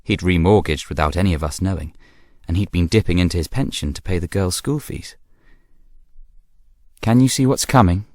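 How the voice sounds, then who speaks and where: Deep-voiced, self-assured young British schemer. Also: male voice, dry recording, studio quality. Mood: knowing, assured. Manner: arch, measured, knowing asides. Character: self-assured, chatty, scheming young man, secret half-brother. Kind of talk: confiding radio drama monologue, reading a letter.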